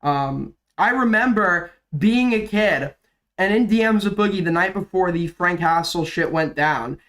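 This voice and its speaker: A young man with a slightly raised pitch talks through drama commentary on his stream, his throat sore from illness so the voice cracks and he coughs. He rambles, swears freely and leans on fillers, shifting easily between quick and slow talk. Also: animated, a bit bright. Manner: rambling, profane, filler-heavy. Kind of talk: casual livestream commentary.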